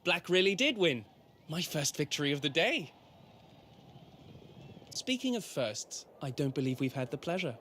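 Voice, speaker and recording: An actor playing a friendly, well-bred young prince in an audio drama, speaking in a genteel, effusive way. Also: male voice, fairly high pitch, sing-song, normal speed, long pauses, fairly bright tone, slight background noise. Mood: excited. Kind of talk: video game audio drama acting.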